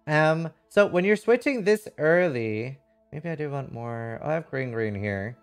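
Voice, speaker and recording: A man's voice: fairly high pitch, lively intonation, unhurried, clear, resonant tone, soft background music. A man doing casual live game commentary, reading chat and thinking aloud in a frank, rambling way with some casual swearing. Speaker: livestreamer.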